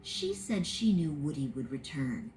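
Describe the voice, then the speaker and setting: A woman narrating a children's read-along storybook in an expressive, sing-song way. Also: sits low, a little slow, a little hiss or hum.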